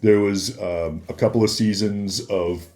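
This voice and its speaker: A man's voice: fairly low pitch, fairly even intonation, a little slow, darkish tone. A man telling anecdotes casually as a podcast guest, acting out remembered exchanges as he goes. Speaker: guest, former celebrity security worker.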